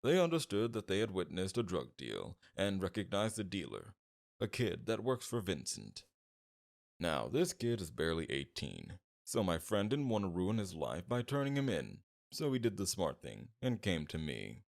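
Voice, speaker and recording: Man acting as a deep, gravelly, flirtatious mob boss. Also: very animated, full character voice. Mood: businesslike. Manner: teasing, suave, drawn-out flirtation with veiled threats. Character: flirtatious, smug, menacing male mob boss. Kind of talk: ASMR roleplay, scripted character acting.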